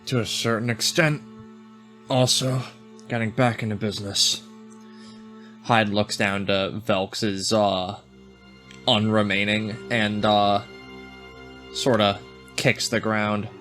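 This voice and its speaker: Low-voiced man roleplaying at tabletop. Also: little pitch movement, takes it slowly, long pauses, fairly bright tone, faint music behind. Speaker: tabletop game player. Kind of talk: in-character roleplay and description.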